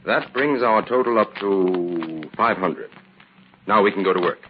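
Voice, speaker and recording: An actor playing a calm, shrewd scientific detective in an old-time radio drama, measured and assured as he explains. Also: low voice, muffled recording, noisy background. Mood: brisk, businesslike.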